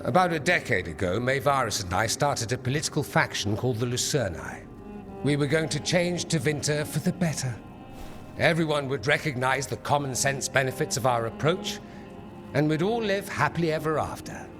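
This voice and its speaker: An urbane rebel politician in a fantasy game, acted by a man. He speaks in a mid-range voice, articulate and wryly idealistic, over clearly audible music. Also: mid-range voice, expressive, normal speed, close to an everyday voice. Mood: wry, resigned. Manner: polished, wry, articulate. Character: urbane male politician turned underground rebel, wry and idealistic. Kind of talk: video game dialogue.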